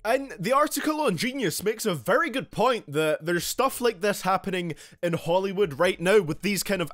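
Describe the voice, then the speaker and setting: A man's voice: high-pitched, a bit bright, dry recording, clean studio sound. A young man in his late teens, hosting a casual commentary video with sarcastic asides. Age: young adult. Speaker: commentary channel host.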